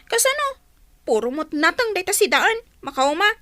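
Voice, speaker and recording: Very high-pitched whiny teenage girl. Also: very animated, moderate pace, sharp tone, dry recording, clean studio sound, heavily acted. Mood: sullen. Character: sleepy, sullen teenage daughter, whiny and lazy. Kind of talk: Ilocano radio drama acting.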